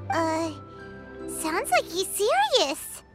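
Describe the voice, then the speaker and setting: An actress voicing a tiny, eager childlike companion in a game, with a squeaky voice. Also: female voice, big pitch swings, very slow, full character voice. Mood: uneasy. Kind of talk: cartoonish game voice acting. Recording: prominent tinkling music behind. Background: music.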